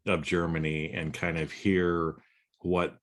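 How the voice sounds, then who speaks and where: A man's voice: sits low, level tone, takes it slowly, a bit bright. A man hosting a podcast, asking questions in a rambling, repetitive way. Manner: rambling, repeats words while framing questions. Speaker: podcast host. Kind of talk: conversational interview.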